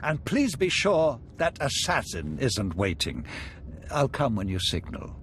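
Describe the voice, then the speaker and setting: A man's voice: medium pitch, wildly swooping pitch, measured pace, fairly bright tone, slight background noise. An actor playing a cunning, aristocratic Sith lord in a video game, courtly and theatrical, relishing his words. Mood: scheming, urgent. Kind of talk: video game character acting.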